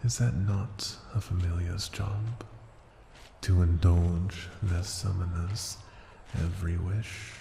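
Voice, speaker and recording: Man voicing a very deep, slow, seductive ancient dragon. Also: steady tone, warm tone, full character voice. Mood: reassuring, possessive. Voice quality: breathy, often dropping to a whisper. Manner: slow, deliberate, teasing endearments. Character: ancient male dragon familiar, seductive, possessive, condescending. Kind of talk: ASMR fantasy roleplay. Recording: roomy echo, noisy ambience.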